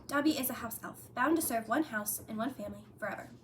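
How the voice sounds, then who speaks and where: A woman voicing a small, servile, tearful male house elf with a high-pitched voice who speaks of himself in the third person. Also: fast talker, a little hiss or hum, close to an everyday voice. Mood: anxious.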